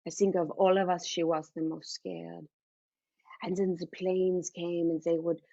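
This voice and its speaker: A woman's voice: mid-range voice, mellow, breathy edge, no room sound, lo-fi sound. A woman voicing her elderly mother in a personal story, with a dramatic, sentimental, blunt manner. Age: old woman. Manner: theatrical, sentimental, non-native phrasing.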